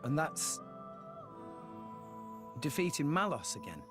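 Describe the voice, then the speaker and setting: Casting: soft-hearted young game hero. Higher male voice, lively intonation, hesitant sincerity, music underneath. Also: takes it slowly. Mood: questioning, surprised. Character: earnest, soft-hearted teenage boy hero. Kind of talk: video game cutscene voice acting.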